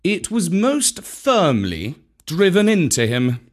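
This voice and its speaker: A man's voice: high-pitched, huge pitch swings, slow pace, voice pushed up, full character voice. A man voicing a proud male panther, commanding, stately old-fashioned speech, somewhat raised voice, storybook. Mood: urgent.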